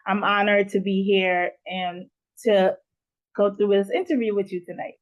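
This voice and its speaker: A woman from Guyana, a guest founder, talking in an earnest interview manner with pauses and fillers. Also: mid-range voice, fairly even intonation, muffled recording. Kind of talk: casual interview talk.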